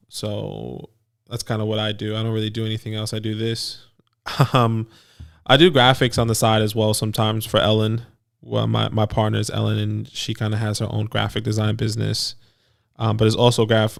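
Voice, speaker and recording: A man's voice: lowish voice, vocal fry, dry recording, clean studio sound. A man in his late twenties co-hosting a livestream in casual, conversational chat. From lives in Australia. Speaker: co-host of a fantasy football show.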